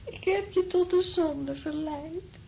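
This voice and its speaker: A woman's voice: extremely high pitch, takes it slowly, creaky, full character voice. An actress playing a vulnerable, guilt-ridden woman who secretly loves a priest, intimate and hesitant, in a Dutch radio drama. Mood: tearful. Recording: dull, lo-fi old radio recording, faint noise.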